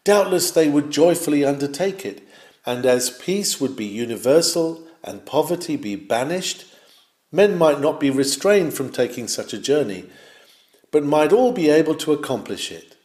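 A man reading an old sermon aloud in a measured, declamatory way, with swells of fervour. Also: a little high, very animated, darkish tone. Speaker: reader of a historic sermon. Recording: roomy, echoing sound.

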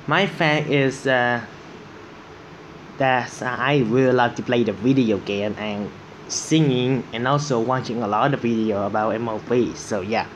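A seventeen-year-old man from Vietnam, reacting casually in halting English and repeating phrases. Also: medium pitch, fairly even intonation, background noise. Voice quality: non-native, halting English. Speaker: reaction video host.

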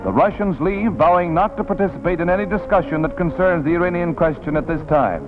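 A man's voice: sits high, fast. A man with an American accent narrating a 1940s newsreel in a dramatic, declamatory announcer style. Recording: tinny vintage film soundtrack, music behind. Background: newsreel music.

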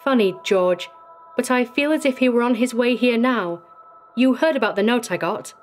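A woman voicing a nervous, guilt-haunted male district attorney in a dramatic story reading, anxious and halting. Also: on the high side, soft background music, pitched above the performer's own voice, lightly acted. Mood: uneasy foreboding. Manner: anxious, halting, stammering in fright.

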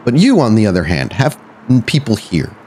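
A man hosting and narrating a tabletop roleplaying game, often halting and correcting himself as he goes. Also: lowish voice, huge pitch swings, darkish tone, slight background noise. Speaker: podcast host and game storyteller.

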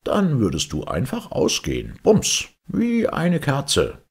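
Guy doing a blustering storybook twin, hot tempered n scornful, husky, swoops all over. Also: mid-range voice, huge pitch swings, slow, pitched above the performer's own voice, exaggerated voice. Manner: blustering, indignant.

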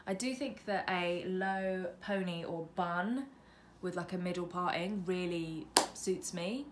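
A woman's voice: fairly low pitch, a little slow. A woman in her mid-twenties with a British accent, chatting casually to camera as a vlogger in an informal, self-deprecating way. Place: indoors, bedroom at a window facing a road. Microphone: camera on windowsill, about arm's length. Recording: noisy room by a busy road. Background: noise, passing traffic.

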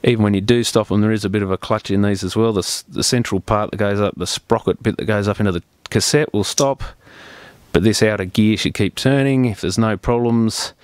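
Low-voiced man giving a practical repair demonstration. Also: fairly quick, smooth, dry recording. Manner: plain-spoken, practical, step-by-step explaining. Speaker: repair technician presenting a tutorial. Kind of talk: unscripted hands-on repair demonstration.

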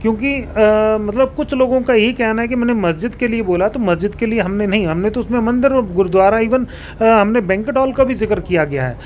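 High-pitched man explaining. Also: brisk, few pauses. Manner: explanatory, mixes in English terms. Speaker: local politician, interviewee. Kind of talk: formal interview answers. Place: small indoor office, seated on a sofa. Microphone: handheld reporter mic held toward him. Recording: clear background room noise.

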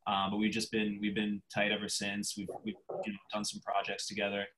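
A man's voice: lowish voice, monotone, a bit bright, a little hiss or hum, low-quality recording. Eager New York storyteller man. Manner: eager storyteller, quick bursts. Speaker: challenge creator guest. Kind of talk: casual video call chat. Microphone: video call.

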